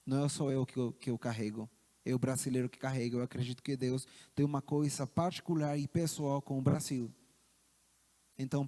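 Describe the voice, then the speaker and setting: A man with a Chilean accent speaks earnestly to a congregation, halting and repeating words as he goes. His voice is mellow and dark, at a middle pitch. The sound carries a long hall reverb. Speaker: missionary. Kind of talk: church address.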